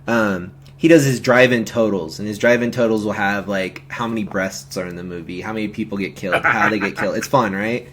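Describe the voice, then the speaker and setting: A man's voice: lowish voice, steady tone, a little fast, hardly any pauses, a bit bright, slight background noise. A man hosting a film watch-along stream, talking in a casual, jokey, informal way. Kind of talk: casual chat and film commentary.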